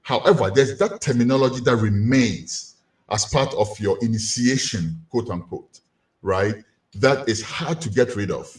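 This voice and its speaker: A man's voice: mid-range voice, unhurried. A Ghanaian man giving a community lecture, asking rhetorical questions to his listeners. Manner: animated, rhetorical questions to the audience.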